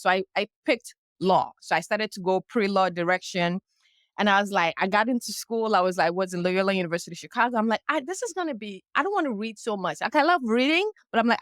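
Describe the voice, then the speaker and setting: Brief: middle-aged woman from Nigeria, animated storyteller, mid pitch, dry close mic. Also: no room sound. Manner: lively, animated storyteller who acts out past dialogue. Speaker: guest, publicist and coach. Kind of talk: casual storytelling interview.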